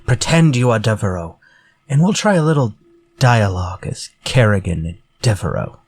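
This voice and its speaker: An actor playing a menacing, politely theatrical murderer in a retro-style radio drama. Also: male voice, on the low side, expressive, a little mellow, breathy edge. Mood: coaxing. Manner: courtly, measured, theatrical. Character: menacing murderer from a story, politely coaxing then vengeful.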